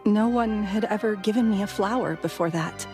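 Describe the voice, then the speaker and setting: A woman playing a widow who remembers her late husband in a gentle, wistful, soft-spoken way, over faint game music. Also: mid-range voice, moderate pace, close to an everyday voice. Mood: tender.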